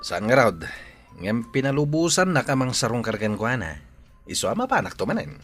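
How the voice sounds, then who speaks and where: A man's voice: mid-range voice, big pitch swings, brisk, a bit bright, soft background music. A man playing an eager, ingratiating would-be gardener in an Ilocano radio drama.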